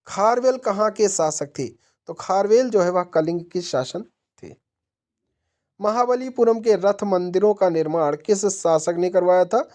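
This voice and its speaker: A woman's voice: medium pitch, dark tone. A woman narrating a question-and-answer quiz lesson as an exam-prep teacher, methodically posing each question and then answering it.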